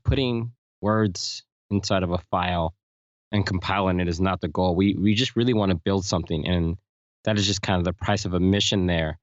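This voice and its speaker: Low-voiced man, reflective podcast host. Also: no echo, lo-fi sound. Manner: reflective, measured, with many "you know" fillers. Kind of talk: casual panel chat.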